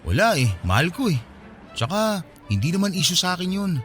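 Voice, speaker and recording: Easygoing, very animated young guy. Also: sits high, fairly quick, faint music behind. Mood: easygoing, earnest. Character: easygoing, unromantic young man, a loyal boyfriend. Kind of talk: Filipino radio drama acting.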